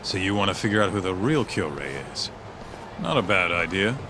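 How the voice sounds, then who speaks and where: Deep-voiced, laid-back tough sidekick. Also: male voice, expressive, a little fast. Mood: casual curiosity. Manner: casual, breezy, joking. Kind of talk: naturalistic video game dialogue. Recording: clear city street noise behind.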